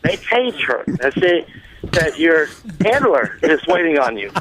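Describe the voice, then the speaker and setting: A man's voice: fairly high pitch, sing-song, a little slow, fairly bright tone, slight background noise, rough recording. A man telling a casual comic anecdote on talk radio, rambling along and acting out the dialogue as he goes. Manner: rambling storyteller, acts out quoted dialogue. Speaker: show guest telling a story.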